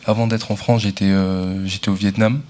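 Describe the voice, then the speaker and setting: A young man, a student guest on live radio, speaking in a calm, plain and even way. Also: on the low side, normal speed. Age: young adult.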